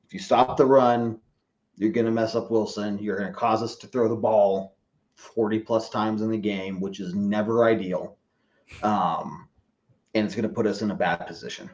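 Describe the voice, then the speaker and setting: Guy rambling thru football talk, lotta ums, lowish voice, kinda dull lo-fi audio. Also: fairly even intonation, moderate pace, a little mellow. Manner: rambling, self-correcting, many "um"s. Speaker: podcast guest, team fan. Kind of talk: casual analytical sports chat.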